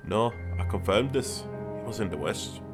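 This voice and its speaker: Casting: male actor as seasoned detective, older, low voice, grave and tired, fantasy audio drama, music clearly behind. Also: lively intonation, moderate pace, close to an everyday voice. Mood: matter-of-fact. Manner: weary, grave. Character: world-weary older male detective, grave, easily exasperated.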